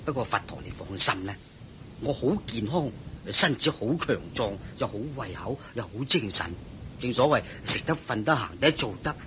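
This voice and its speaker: An actor playing a complacent, evasive rich householder in a Cantonese radio drama, hearty and self-satisfied, with a Hong Kong accent. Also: male voice, mid-range voice, animated, normal speed, bright, forceful tone, a little hiss or hum. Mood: complacent, cheery.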